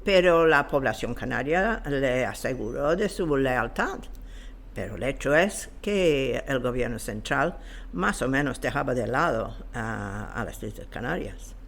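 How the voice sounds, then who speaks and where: Gravelly-voiced man, halting interview talk. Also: medium pitch, expressive, a bit bright, a little hiss or hum. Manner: hesitant, halting, searching for words. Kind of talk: explanatory interview talk on history.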